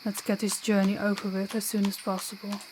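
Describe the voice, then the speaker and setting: A woman acts out a defiant young princess in an audio drama, her voice at an average pitch. She is principled and earnest, with formal, blunt phrasing. A faint noise sits behind the voice. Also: flat delivery, fairly quick, natural voice. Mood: earnest, resolute. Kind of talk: audio roleplay acting.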